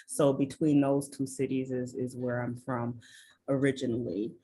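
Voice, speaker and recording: A woman from Michigan telling her story as an interview guest, slow and earnest, with frequent ums and restarts over a video call. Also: rumbling low, level tone, warm tone, husky, poor audio. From Michigan, USA. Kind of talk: reflective personal storytelling. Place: rural village in southern Belize.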